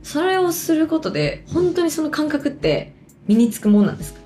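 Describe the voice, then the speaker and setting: A woman's voice: high voice, very animated, a little fast, dark tone. A woman with a Kansai accent chatting casually and expressively in colloquial speech as a podcast host. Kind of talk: casual chat about acting practice. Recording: roomy echo with music behind.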